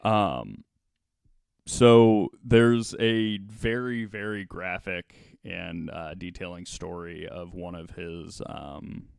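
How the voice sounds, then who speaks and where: Male podcast host, true-crime storyteller. Very unhurried, halting, clean resonant tone. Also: sits low, fairly even intonation, vocal fry, clean tone, no room sound, clean studio sound.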